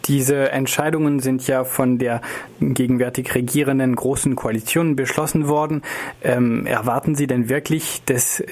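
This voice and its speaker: A man working as a radio interviewer, putting news questions in a somewhat hesitant, halting way. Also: mid-range voice, fairly even intonation, a little mellow.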